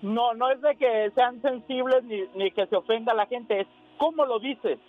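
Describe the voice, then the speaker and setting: Very high-voiced man complaining by phone. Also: normal speed, soft background music. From Sacramento area. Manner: insistent, complaining. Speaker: caller. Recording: tinny phone line.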